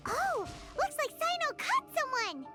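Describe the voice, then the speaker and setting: A woman voicing a small, childlike floating fairy companion in a video game, perky, bossy and whiny, with a squeaky voice. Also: big pitch swings, a little slow, bright, forceful tone, soft background music, heavily acted. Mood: bossy, demanding. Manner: refers to self in third person, whiny.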